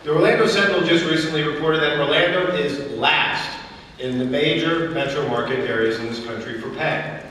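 A man's voice: a little high, brisk, bright, forceful tone. A man in his thirties, a union president, delivering a prepared political speech from a podium in a large hotel ballroom. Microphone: podium mic heard from far camera. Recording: long hall reverb, distant, faint noise.

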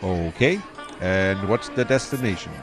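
A man's voice: deep voice, lively intonation, unhurried, music in the background. An actor playing an easygoing young male starship pilot who talks in a casual, laid-back way in an audio drama.

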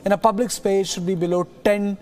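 A man in his thirties anchoring a studio news bulletin, reading the news in a measured way and then questioning a colleague with some hesitant fillers. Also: high voice, steady tone, a little mellow.

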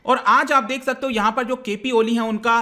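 A man's voice: very high-pitched, steady tone, brisk, bright, forceful tone. A young man explaining news to exam students over slides, speaking directly to viewers and mixing in English terms. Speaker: current affairs teacher.

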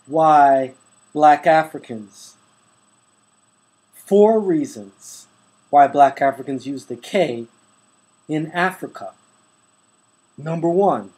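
A man hosting his own online channel, reading a book passage aloud to his webcam in a measured, deliberate way at home. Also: fairly high pitch, a little mellow, husky, low-quality recording. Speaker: YouTube host reading from a book. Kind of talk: slow, deliberate read-aloud to camera. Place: indoors at home, holding a book to the camera.

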